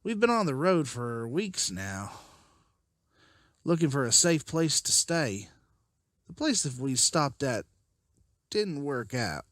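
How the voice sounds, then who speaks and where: A man playing a weary, earnest leader of survivors, serious and halting, in a comic drama. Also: medium pitch, big pitch swings, fairly bright tone, dry recording, clean studio sound. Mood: grim, weary.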